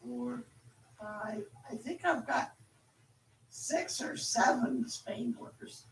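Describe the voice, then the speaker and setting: A woman chatting casually in a drawn-out, rambling way, heard from away from the mic in an echoey space with faint noise. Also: fairly high pitch, distant mic. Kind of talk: casual chat.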